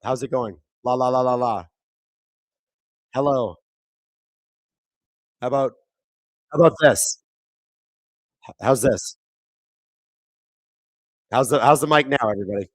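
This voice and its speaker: A man with a thin, raspy, hoarse voice hosts a live hockey watchalong, chatting casually, reading viewer comments and calling plays excitedly. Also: mid-range voice, unhurried, long pauses, no echo, poor audio. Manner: casual, reads viewer chat aloud; excitable play-by-play on big moments. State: hoarse, voice squeaking. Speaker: watchalong host. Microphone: streaming microphone.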